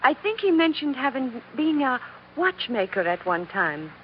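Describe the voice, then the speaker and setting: An actress playing a genteel, confiding young romantic heroine in an old radio drama, with a soft manner. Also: female voice, sits high, very animated, moderate pace. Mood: casual. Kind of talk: 1940s radio drama acting. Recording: muffled old radio recording, faint hiss.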